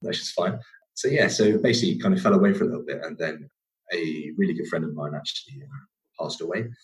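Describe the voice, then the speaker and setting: A man's voice: rumbling low, brisk, low-quality recording. A young man from England with a northern English accent, a podcast guest telling his story casually, rambling with many fillers and restarts.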